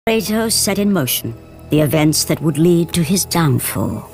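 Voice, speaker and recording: A woman narrating an epic video game as a grave storyteller of Greek tragedy, measured and dramatic. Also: low-pitched, very animated, a little mellow. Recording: orchestral game music behind.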